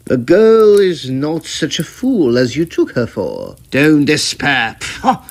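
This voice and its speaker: A man plays a scheming, middle-aged Victorian guardian in a radio melodrama. His voice sits a little high and moves unhurriedly through theatrical, formal phrases. The pitch swings widely as he presses his greedy case. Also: exaggerated voice. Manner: theatrical, emphatic, formal period diction. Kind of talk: old-time radio melodrama acting.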